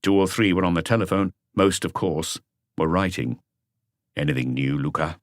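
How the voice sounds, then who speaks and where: Man, low voice, scripted detective-story narration, unhurried, dry close sound. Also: fairly even intonation, no room sound. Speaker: audiobook narrator.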